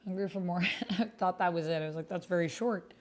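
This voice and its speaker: A woman with a slightly low, soft-edged mellow voice hosts a livestream of a text roleplay game. She talks straight to her viewers in a chatty way and moves between casual remarks and reading game posts aloud. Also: fairly quick. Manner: chatty, addresses viewers directly. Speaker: streamer hosting a tabletop roleplay game.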